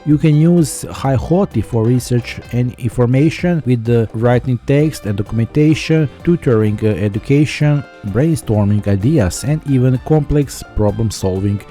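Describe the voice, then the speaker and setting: A man's voice: mid-range voice, moderate pace, few pauses, mellow, faint music behind. A man narrating a scripted product review as a tech reviewer.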